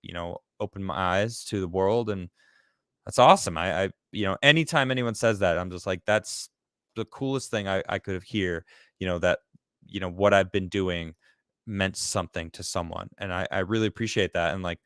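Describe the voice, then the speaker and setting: A man from Chicago hosting a casual live-stream talk show. He laughs often and reads viewer comments aloud in a lively voice. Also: low-pitched, normal speed, clear, resonant tone, no echo, clean studio sound. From Chicago, United States.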